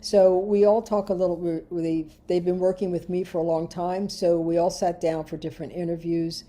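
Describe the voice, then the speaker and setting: A woman's voice: on the low side, flat delivery, normal speed, warm tone. A woman hosting an online knitting call, chatting and interviewing a longtime collaborator. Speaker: knitting designer and class leader. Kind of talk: casual call presentation and interview.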